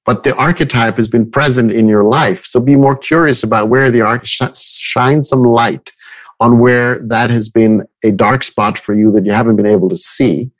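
A man in his fifties from Switzerland speaking as an expert guest in an interview, reflective and halting, repeating phrases for emphasis. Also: mid-range voice, fairly even intonation, low-quality recording. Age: 50s. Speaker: guest expert, astrologer and coach. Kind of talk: interview conversation.

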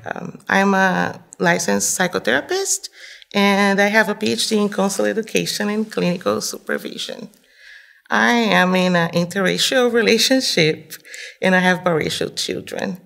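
A woman from Brazil speaks English with a gravelly edge of vocal fry. She talks reflectively as an interview guest, pausing on fillers and restarting phrases as she thinks. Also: medium pitch, fairly bright tone. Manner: thoughtful, with frequent fillers and restarts. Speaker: psychotherapist and humanitarian guest.

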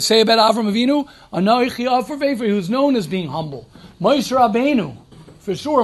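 Emphatic high-voiced rabbi teaching a class. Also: male voice. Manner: emphatic, repeats key phrases, asks and answers his own questions. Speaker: rabbi teaching yeshiva students. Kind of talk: informal Torah talk to a class.

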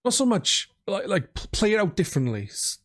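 A man hosting a casual gaming podcast chat, speaking in a halting way and often restarting his words. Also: sits high, sing-song. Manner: halting, repeats word starts. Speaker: podcast host.

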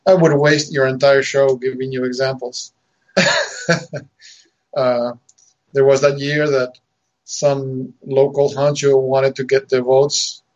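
A man from Argentina talks on a podcast as a guest, worked up and emphatic, stumbling over repeated words and chuckling between points. His voice sits at a middle pitch. The audio is dull and lo-fi. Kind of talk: casual podcast chat.

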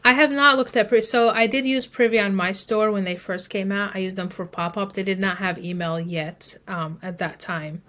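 A woman's voice: medium pitch, normal speed, few pauses, bright, forceful tone. A woman speaking as an expert guest in a podcast interview, explaining advice conversationally. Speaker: email marketing expert guest.

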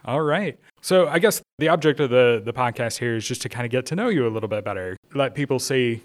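A man hosting a local interview podcast, asking relaxed, informal questions. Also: mid-range voice, fast talker. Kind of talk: casual interview.

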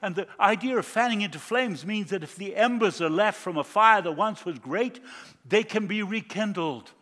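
A man's voice: high-pitched, normal speed, bright, forceful tone, long reverb tail. A man from Argentina preaching a sermon as a guest, mixing jokes and personal stories into his message. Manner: jokey, anecdotal.

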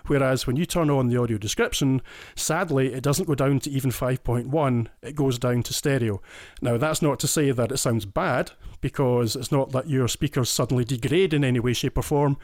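A man's voice: medium pitch, moderate pace, darkish tone, no room sound, clean studio sound. A Scottish man talking eagerly and at length as a guest in a casual podcast chat, often restarting his sentences. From Scotland. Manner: enthusiastic, explains in detail with frequent restarts. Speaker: guest listener.

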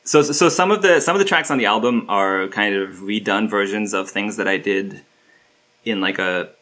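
A man's voice: on the low side, moderate pace, pushed and bright. A man from Turkey, a guest musician, talking casually in an interview, rambling with many fillers and restarts.

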